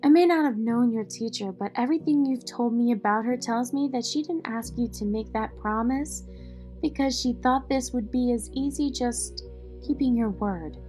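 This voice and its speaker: A no-nonsense, loyal woman ally, played by an actress in an audio drama. Her voice sits fairly high yet is mellow and relaxed. She talks frankly, with faint music behind. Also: moderate pace, close to an everyday voice. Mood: supportive, firm.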